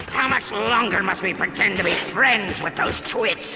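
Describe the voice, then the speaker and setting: An actor voicing a short-tempered, theatrical robot supervillain in a video game, shrill and creaky. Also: male voice, normal speed. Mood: exasperated. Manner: theatrical, explosive. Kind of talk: animated video game cutscene acting. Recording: loud music behind the voice.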